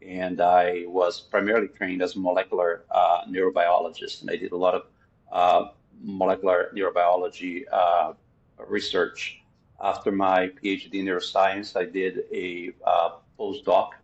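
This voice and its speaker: Deep-voiced Brazilian man, earnest and halting. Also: little pitch movement, lo-fi sound. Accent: Brazilian Portuguese. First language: Portuguese. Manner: earnest, many "uh" fillers, methodical. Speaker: guest psychiatrist. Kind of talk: podcast interview.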